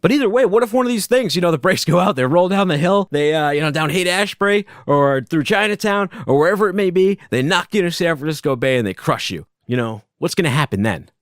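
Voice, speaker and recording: A man hosting a solo podcast from his basement, talking loudly and casually, rambling and chuckling as he goes. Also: fairly high pitch, fast, hardly any pauses, no echo, studio quality. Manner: animated, rambling, self-interrupting, chuckles often; loud voice. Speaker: podcast host. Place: home basement.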